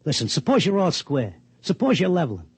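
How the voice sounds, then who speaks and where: A man's voice: on the high side, wildly swooping pitch, fairly quick, darkish tone, breathy edge, no echo, heavily acted. An American actor playing a brash, streetwise racetrack tipster in a 1950s radio drama, with blunt, slangy wise-guy talk. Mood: urgent, bargaining. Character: brash, streetwise male racetrack tipster, stubborn and plain-spoken. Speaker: lead character and narrator. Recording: muffled 1950s radio broadcast, music behind.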